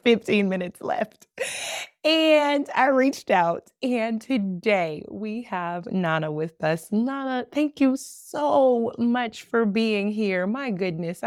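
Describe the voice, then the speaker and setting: A woman's voice: medium pitch, no echo, clean studio sound. A woman hosting a faith podcast, chatting in an effusive way and often saying y'all. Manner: warm, effusive, says y'all a lot. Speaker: podcast host. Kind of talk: casual podcast chat.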